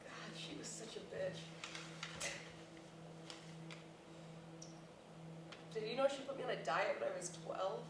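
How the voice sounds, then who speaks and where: A woman's voice: medium pitch, animated, fast, natural voice. An actress playing a grieving, defensive middle-aged mother in a staged play reading, blunt and sardonic. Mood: bitter grief. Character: grieving mother of a grown daughter, prickly and defensive. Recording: echoey noisy room, distant mic.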